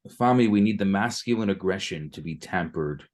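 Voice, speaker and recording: A man teaching a class as rabbi on a video call, explaining in a calm, measured way. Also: low voice.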